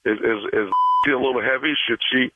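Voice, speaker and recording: A man playing a polite, hesitant camp director on a prank phone call, halting and placating. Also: mid-range voice, crowd noise, natural voice. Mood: awkward, placating. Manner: halting, stammering, evasive, placating. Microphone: telephone. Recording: tinny phone line.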